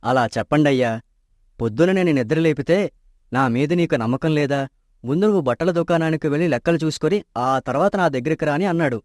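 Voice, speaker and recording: An actor voicing an honest, modest young accountant in an animated story, speaking politely and deferentially. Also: male voice, fairly high pitch, fairly quick, dry recording, close to an everyday voice. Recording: dry close studio mic.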